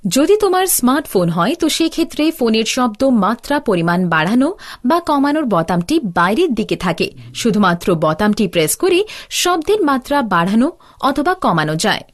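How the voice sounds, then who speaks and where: A woman's voice: fairly high pitch, sing-song, moderate pace. A woman narrating a scripted tutorial as an instructor, explaining in a didactic, step-by-step way.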